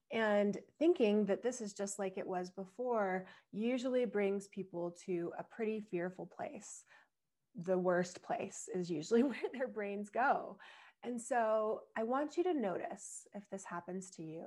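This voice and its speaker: A young adult woman hosting a solo podcast. She explains things in a reflective way, laughs now and then, and her voice is slightly husky from strain. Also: mid-range voice, a little mellow. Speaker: podcast host.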